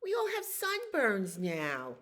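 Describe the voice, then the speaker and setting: Bossy little pond frogs who know everything, as a woman puts them on while reading aloud. Their voices are high and teasing and sometimes drop to a whisper, in a slow picture-book reading. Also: huge pitch swings, fairly bright tone, heavily acted. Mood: complaining.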